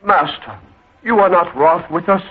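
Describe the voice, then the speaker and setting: An actor playing an anxious, deferential character in a fantasy radio play, with theatrical old-time delivery. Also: male voice, sits high, measured pace, husky. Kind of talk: 1940s radio acting. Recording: tinny old radio with faint music.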